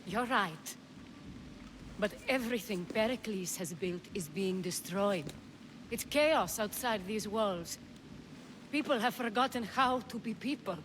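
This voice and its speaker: A woman's voice: medium pitch, animated, unhurried, lightly acted. Actress as a worried noblewoman, noisy backdrop. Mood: bleak. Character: elegant, worried, commanding Athenian noblewoman. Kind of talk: video game cutscene acting.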